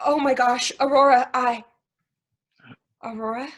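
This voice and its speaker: A woman's voice: high voice, fairly even intonation, a little slow. A woman reading the part of an emotional, hesitant, guilt-ridden young woman in a stage play. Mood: subdued, shaken.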